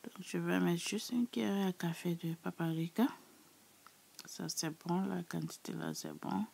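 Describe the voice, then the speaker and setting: A woman with a sore, hoarse throat, chatting casually to her subscribers as she walks through a recipe in her kitchen. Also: on the low side, fairly even intonation, measured pace, a little mellow. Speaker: cooking channel host. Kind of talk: casual cooking tutorial.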